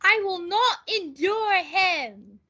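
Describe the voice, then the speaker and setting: Hot-headed defiant young man, played by a female student, high voice, drawn-out delivery, lo-fi stage reading. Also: expressive, sharp tone, exaggerated voice. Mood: furious. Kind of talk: student reader's theatre performance. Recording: dull lo-fi audio.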